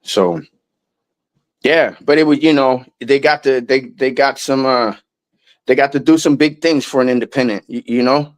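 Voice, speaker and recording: A man's voice: medium pitch, normal speed. A man in a casual interview, talking in a loose, rambling way with lots of restarts and some swearing. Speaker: guest musician.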